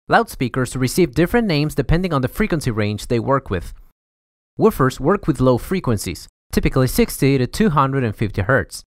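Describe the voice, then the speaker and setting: A man's voice: medium pitch, dry recording, clean studio sound. A young man narrating a scripted educational explainer in a formal, methodical way as the host. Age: young adult. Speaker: host of an educational audio series.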